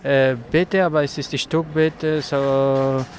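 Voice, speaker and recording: A man's voice: on the high side, fairly even intonation, a little slow, background noise. Earnest young man from Afghanistan. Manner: earnest, hesitant, searching for words. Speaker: protester. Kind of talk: street interview. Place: outdoors on a city street.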